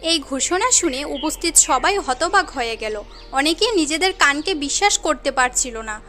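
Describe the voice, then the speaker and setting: A woman's voice: sits high, lively intonation, normal speed, bright, forceful tone, faint music behind. A woman narrating a moral cartoon tale in an earnest, dramatic storytelling manner.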